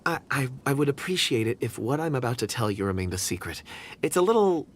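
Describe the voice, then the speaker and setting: A woman's voice: rumbling low, sing-song, quick pace, slight background noise. A woman voicing an earnest, polite, somewhat hesitant young character in a video game. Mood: flustered. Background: game music.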